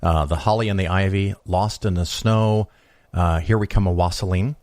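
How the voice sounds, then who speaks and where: Very deep-voiced guy hosting a podcast, dry close sound, laid back and wry. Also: normal speed, dry recording. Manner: relaxed, wry asides. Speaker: podcast host. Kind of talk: casual interview chat.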